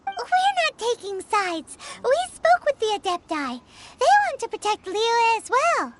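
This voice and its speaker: A woman voicing a small, excitable, naive fairy girl with a squeaky childlike voice in a video game. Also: measured pace, background noise, heavily acted. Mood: earnest. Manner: refers to self in third person, exclaiming.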